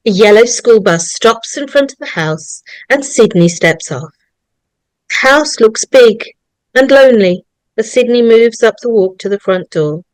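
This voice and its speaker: A woman's voice: mid-range voice, no room sound. A woman narrating, reading out the stage directions of a screenplay at a table read.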